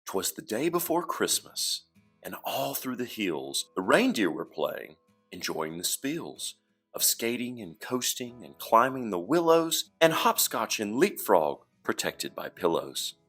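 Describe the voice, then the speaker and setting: A man reading rhyming verse aloud for children as an expressive, dramatic storyteller. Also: lowish voice, a little slow, breathy edge, faint music behind. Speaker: storybook reader.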